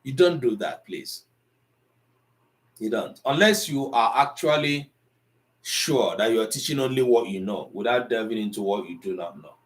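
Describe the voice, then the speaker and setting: A man's voice: medium pitch, animated, moderate pace. A middle-aged man teaching an Igbo language class online, lecturing in a conversational way that mixes English and Igbo.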